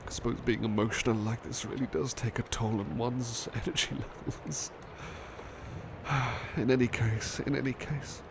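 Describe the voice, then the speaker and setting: A man playing a gentle, bashful butler turned into a cuddly plush doll in an ASMR roleplay, speaking tenderly and politely with hesitant pauses. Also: mid-range voice, steady tone, gravelly, noisy background, close to an everyday voice. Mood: sleepy, affectionate. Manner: hesitant, polite, tender, halting with soft repetitions. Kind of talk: ASMR roleplay, soothing personal attention.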